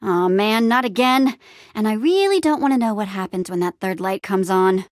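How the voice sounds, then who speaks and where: A woman playing a plucky, bossy but encouraging young adventurer heroine in a video game, with an expressive, casual, peppy delivery. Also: mid-range voice, normal speed, no echo, clean studio sound, natural voice. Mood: curious, musing. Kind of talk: animated adventure game dialogue.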